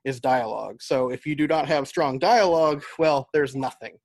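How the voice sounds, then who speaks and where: An American man is being interviewed and explains his work in a conversational way. His voice sits at a middling pitch with very little room sound. He thinks his points through aloud as he goes. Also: normal speed, dry recording. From United States. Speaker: podcast guest, novelist and audio drama writer.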